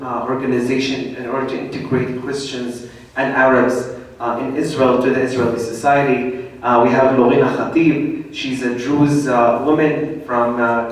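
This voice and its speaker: Man, public speaker, halting, lots of fillers, distant mic, roomy echo. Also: medium pitch. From Lebanon. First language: Arabic. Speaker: event speaker. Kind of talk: public talk. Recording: echoey room, distant mic.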